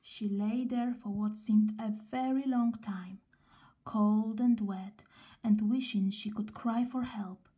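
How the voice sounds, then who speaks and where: A woman reading a children's story aloud in a gentle, expressive way, with pauses between phrases. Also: mid-range voice.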